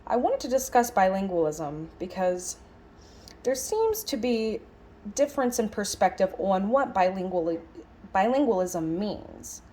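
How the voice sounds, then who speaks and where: Expressive woman voicing a reflective lecture. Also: mid-range voice, measured pace. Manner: musing, emphatic phrasing with rhetorical questions. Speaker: sign language interpreter voicing a signed lecture. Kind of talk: interpreted lecture, conversational and reflective.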